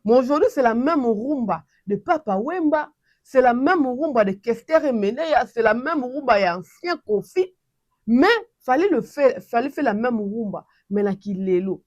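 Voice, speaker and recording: A woman from Congo with a Congolese French accent, hosting her channel and giving animated casual commentary with many restarts. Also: fairly high pitch, moderate pace, no echo, dull audio.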